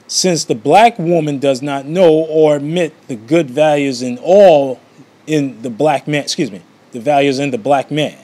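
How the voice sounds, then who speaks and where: A man reads aloud from a book at a slow pace, in a mid-pitched voice. His delivery is unpolished and halting, and he often trips on words and repeats phrases to correct himself. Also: a little mellow. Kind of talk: amateur read-aloud of a book.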